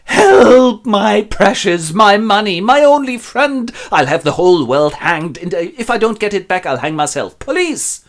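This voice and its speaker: A miserly old father from a comic stage story, acted out by a man. He is suspicious and hot-tempered. His voice jumps high and swings widely as he bellows. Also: wildly swooping pitch, fast, hardly any pauses. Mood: frantic. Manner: shrill outbursts, bellowed insults.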